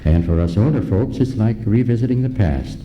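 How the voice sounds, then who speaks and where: A man acts an old small-town storekeeper in radio comedy, genial yet contrary. The voice is low and very mellow, with lively sing-song swoops, heard through a muffled stage recording. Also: a little fast, close to an everyday voice. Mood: mildly contrary. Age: older. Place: live on stage in a large hall. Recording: dull, muffled, faintly noisy stage recording.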